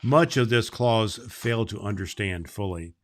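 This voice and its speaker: A man playing Santa Claus, reading a children's book aloud and talking to kids in a slow, gentle storytelling manner. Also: on the low side.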